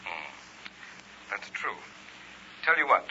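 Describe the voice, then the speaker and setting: Measured, advising doctor friend, vintage radio drama, forceful bright tone, faint noise on a muffled recording. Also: male voice, lowish voice, very animated, heavily acted. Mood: measured, concerned. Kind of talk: old-time radio drama acting.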